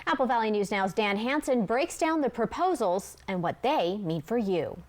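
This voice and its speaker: A woman's voice: on the high side, expressive, normal speed. An American woman presenting scripted television news as an anchor.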